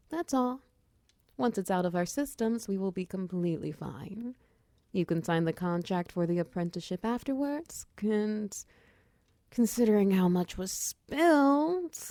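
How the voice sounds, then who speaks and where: A woman voicing a muscular female minotaur wizard who runs a potion shop, in a teasing, commanding roleplay ASMR style, with a breathy voice that slips into whispers. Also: mid-range voice, dark tone, no echo. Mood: relieved, soothing, then flustered, hurried. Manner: intimate, teasing, commanding, with pet names. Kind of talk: roleplay ASMR audio drama.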